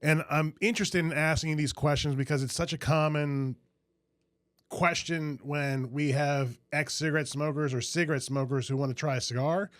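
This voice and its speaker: A man's voice: fairly high pitch, fairly even intonation, normal speed, fairly bright tone. A man hosting a podcast interview, friendly and enthusiastic, with many fillers and restarts. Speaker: podcast host. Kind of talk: casual interview.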